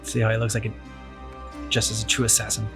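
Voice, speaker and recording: A man's voice: fairly low pitch, monotone, quick pace. A man giving short, offhand, casual reactions to a video game as a host. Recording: game music behind.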